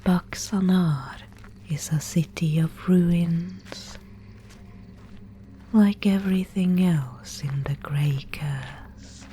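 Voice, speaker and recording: A woman voicing a mysterious, solemn Spindlemaid guide in a whispered ASMR dark-fantasy roleplay, with breathy, incantatory, archaic speech. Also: lowish voice, a little hiss or hum. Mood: calm, ominous. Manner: slow, incantatory, archaic phrasing.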